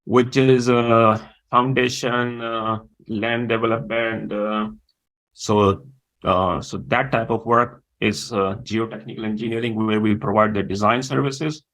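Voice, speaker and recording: Halting man, gravelly voice. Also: lowish voice, fairly even intonation, fairly bright tone, low-quality recording. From Pakistan. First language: Urdu. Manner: halting, many pauses and fillers. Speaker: guest. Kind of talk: interview conversation.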